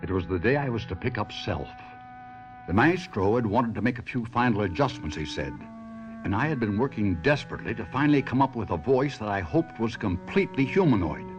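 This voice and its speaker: An actor playing a troubled, sentimental stage ventriloquist in a theatrical, brooding 1970s radio mystery drama style. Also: male voice, on the low side, expressive, fairly quick, highs cut off, over music, natural voice. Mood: sombre narrating.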